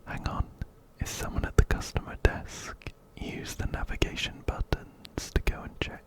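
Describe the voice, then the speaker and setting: A man whispering softly right up close to the microphone in an ASMR game playthrough, unhurried and repeating phrases as he reads dialogue aloud. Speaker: video game streamer and ASMR creator. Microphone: close-up binaural microphone, ear to ear.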